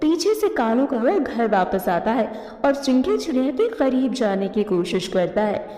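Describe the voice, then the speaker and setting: High, mellow woman narrating cartoon tales. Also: sing-song, measured pace. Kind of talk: scripted storytelling narration for cartoon. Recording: echoey reverberant voice-over.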